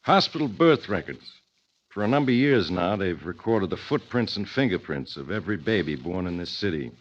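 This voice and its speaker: An actor playing a shrewd, courteous police inspector, brisk and matter-of-fact, in an old-time radio thriller. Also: male voice, sits low, sing-song. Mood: calm, confident. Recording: muffled vintage radio recording.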